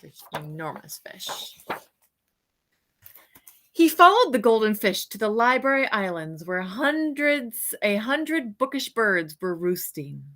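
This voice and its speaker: Slow-paced woman reading a picture book aloud. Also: fairly high pitch, sing-song, a bit bright. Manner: unhurried, drawn-out words, points things out to young listeners. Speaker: read-aloud storyteller, teacher.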